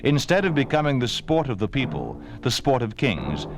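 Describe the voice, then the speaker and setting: A man's voice: medium pitch, very animated, normal speed. A man with an American accent announcing in a solemn, formal, rhetorical 1950s radio narration style. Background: music.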